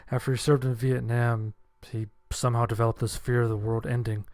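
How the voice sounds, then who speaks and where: A man's voice: medium pitch, little pitch movement, a little fast, a little mellow, dry recording, studio quality. A man narrating a scripted horror story in the first person.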